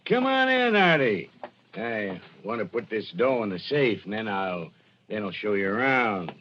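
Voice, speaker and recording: An actor playing a brash, mocking middle-aged American racketeer in 1940s radio style, talking tough and slangy. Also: male voice, fairly low pitch, sing-song, a little slow. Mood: jovial, expansive. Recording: dull, noisy old radio recording.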